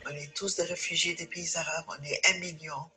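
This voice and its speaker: A man's voice: a little high, steady tone, fairly quick, bright, forceful tone. Insistent man with music behind. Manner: insistent, emphatic. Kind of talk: documentary testimony. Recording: documentary clip with music behind.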